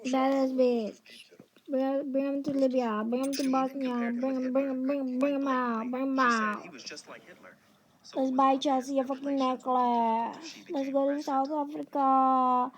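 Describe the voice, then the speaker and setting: A woman vlogging in the dark, rambling and swearing in repetitive chanted lines. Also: high-pitched, level tone, a little slow, crowd noise. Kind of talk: profane rambling monologue over a playing documentary. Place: dark room.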